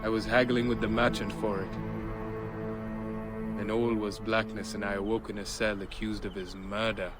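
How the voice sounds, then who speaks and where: An actor playing a driven, scholarly relic seeker of ancient Egypt in a video game, speaking formally and with earnest intensity. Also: male voice, on the low side, level tone, moderate pace, lightly acted. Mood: earnest, secretive. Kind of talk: video game cutscene acting. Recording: game music behind the voice.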